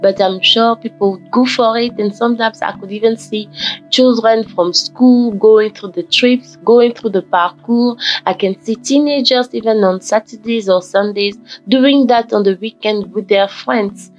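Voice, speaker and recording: A woman from Ghana talking casually in a motivational vlog, with a croaky just-woken voice and a rambling manner that repeats and corrects itself. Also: a little high, measured pace. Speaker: vlogger. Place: forest fitness trail outdoors. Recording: dull lo-fi audio with faint background music.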